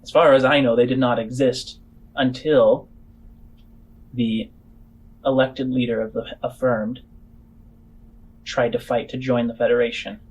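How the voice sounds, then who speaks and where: Man playing a measured, secretive halfling woman spymaster. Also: mid-range voice, flat delivery, breathy edge, poor audio. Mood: grave, measured.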